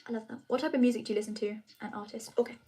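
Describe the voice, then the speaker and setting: A woman's voice: a little high, steady tone, rapid-fire, background noise. A young woman chatting casually with her viewers on a live stream, rambling with lots of fillers. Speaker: vlogger answering chat.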